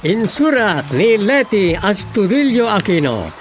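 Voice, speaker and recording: Lalaking tagapagbalita ng drama, mataas ang boses. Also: male voice, high voice, sing-song, a little slow. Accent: Ilocano. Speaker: radio drama announcer. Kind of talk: scripted radio drama outro. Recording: faint music bed behind the voice.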